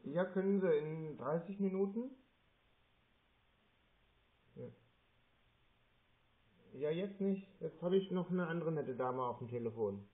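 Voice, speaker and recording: A man's voice: fairly high pitch, measured pace, pauses often. A man chatting casually on the phone in a hesitant, rambling, naively helpful manner. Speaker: prank caller answering scam calls. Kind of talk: casual phone conversation, playing a naive customer. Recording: tinny phone-like audio with faint noise.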